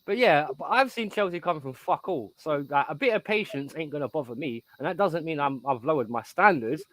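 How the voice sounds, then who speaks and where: Emphatic high-voiced man in his thirties. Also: lively intonation, a little fast, fairly bright tone, a little hiss or hum, low-quality recording. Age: 30s. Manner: emphatic, rambling, keeps repeating "right?". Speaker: guest on a live stream. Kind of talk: casual football chat.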